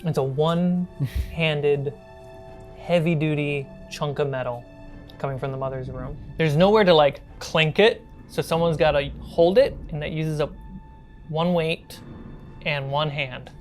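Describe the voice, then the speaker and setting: A woman's voice: low voice, measured pace, soft background music. A woman acting as game master, narrating a tabletop roleplaying game in an improvised, conversational way.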